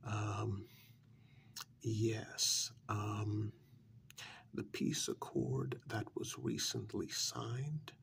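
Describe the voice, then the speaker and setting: Casting: male actor as timid, deferential manservant for ASMR role-play; hushed, breathy, heavy vocal fry, unhurried and halting delivery. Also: medium pitch, slight background noise, heavily acted. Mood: polite, earnest. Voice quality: breathy, half-whispered, creaky soft voice. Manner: hesitant, halting, politely apologetic. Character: deferential, anxious male servant planning a monsters' ball.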